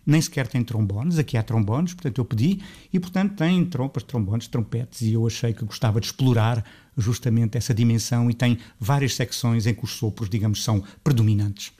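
A middle-aged Lisbon man with a mellow, dark voice speaks Portuguese with a Lisbon accent as a guest on a radio interview. He is thoughtful and restarts his sentences often, and his pitch climbs as he gets animated. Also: brisk. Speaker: composer guest.

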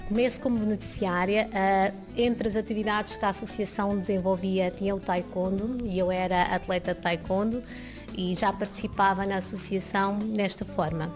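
A woman from Angola giving a personal account in an interview as a volunteer, explaining in long, measured sentences. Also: mid-range voice, flat delivery, over music. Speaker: association volunteer.

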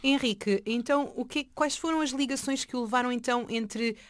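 A woman's voice: fairly high pitch, a little fast. A woman with a European Portuguese accent conducting an informal, conversational interview.

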